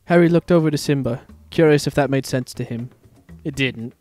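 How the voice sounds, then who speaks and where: A man's voice: mid-range voice, brisk, a little mellow, soft background music. A man giving a dramatic narration of a story as its narrator.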